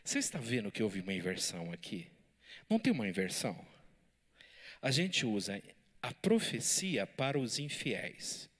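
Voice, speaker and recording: Bright-voiced pastor teaching animatedly. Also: male voice, fairly low pitch, moderate pace, slightly rough. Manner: animated, lively preaching with audience banter. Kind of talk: Bible study teaching. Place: church.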